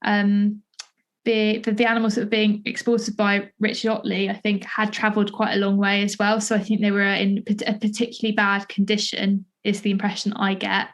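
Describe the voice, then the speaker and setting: A woman's voice: mid-range voice, completely flat delivery, fairly quick. A woman talking conversationally as a podcast interviewer, feeling her way through sentences with frequent false starts. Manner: hesitant, searching, hedging delivery with many false starts.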